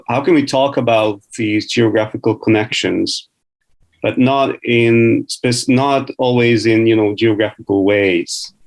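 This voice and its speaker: A man, an academic, who moderates a panel and searches thoughtfully for his words. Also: fairly low pitch. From Hungary. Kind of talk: panel conversation.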